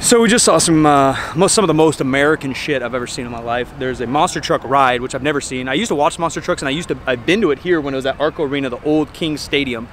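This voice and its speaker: A man's voice: medium pitch, quick pace, few pauses, slight background noise. A man in his thirties from Northern California reviews fair food in a casual, jokey vlog, swearing now and then, filmed outdoors on a camera mic held close. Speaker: vlogger. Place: outdoor state fair, crowd and rides around.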